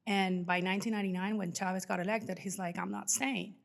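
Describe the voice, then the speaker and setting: A woman's voice: mid-range voice, steady tone, a little fast, creaky. Chatty woman. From Venezuela. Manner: chatty, informal, acts out remembered dialogue. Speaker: podcast guest, financial professional. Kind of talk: casual personal storytelling.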